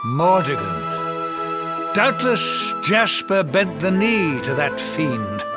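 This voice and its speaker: A middle-aged king from a video game, addresses his listeners with formal, stately grandeur. His voice sits high for a man and moves slowly and theatrically. Loud music plays behind him. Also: very animated, full character voice. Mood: grave, urging. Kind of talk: scripted game cutscene acting.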